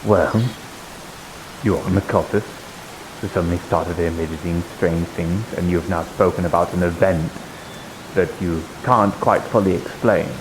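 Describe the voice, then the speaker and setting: Man playing a low-voiced, shrewd interrogator. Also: steady tone, normal speed, lightly acted. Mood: coolly threatening. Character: shrewd, probing male abbey member pressing for secrets. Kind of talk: improvised online roleplay. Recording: hissy live stream microphone.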